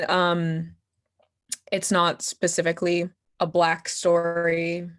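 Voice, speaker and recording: Slow-paced, giggly, low-pitched young-sounding woman. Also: clean tone, soft background music. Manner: giggly, slangy, expressive. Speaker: guest performer and playwright in a post-show talkback. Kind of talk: casual question-and-answer chat.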